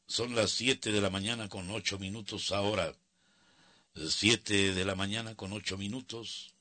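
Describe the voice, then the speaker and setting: A Mexican man hosts a sports radio show in a sharp, bright voice. He talks casually and gives his opinions in colloquial, grumbling asides. The sound is close and very dry, as in a radio studio. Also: lowish voice, no echo.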